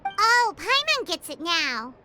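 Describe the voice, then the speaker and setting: Tiny floating companion, squeaky, very high, lively swoops, eager. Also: female voice, slow, a little hiss or hum, exaggerated voice. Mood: curious. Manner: bouncy, eager exclamations. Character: tiny floating girlish companion, excitable and chatty. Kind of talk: video game voice acting. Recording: game audio with music.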